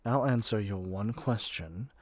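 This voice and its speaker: A man's voice: on the low side, a little slow, darkish tone, dry recording. A man playing a haughty, impatient god in an audio roleplay, with an imperious, theatrical way of talking. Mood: casual, proud. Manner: imperious, theatrical, addresses listener as mortal. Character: haughty, impatient male god of magic rooming with a human.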